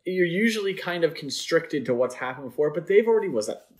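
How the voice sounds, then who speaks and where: A man's voice: a little high, expressive, fairly quick. A man hosting a podcast, chatting casually about films in a rambling, opinionated way. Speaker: podcast host. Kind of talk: casual film discussion chat.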